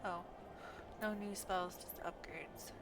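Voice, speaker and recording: A woman's voice: medium pitch, steady tone, slow pace, music in the background. A woman giving casual live commentary while streaming a video game. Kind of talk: casual live gameplay commentary, reading game dialogue aloud. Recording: noticeable background noise.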